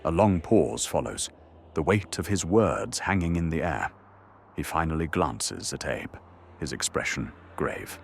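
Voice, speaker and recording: A man's voice: a bass voice, lively intonation, measured pace, vocal fry, slight background noise. A man delivering dramatic scripted narration for an audio drama. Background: faint music.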